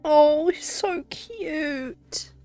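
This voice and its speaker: A woman's voice: sits high, extremely slow, warm tone. A woman streamer reacting casually to a game, gushing and emotional. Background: faint game music.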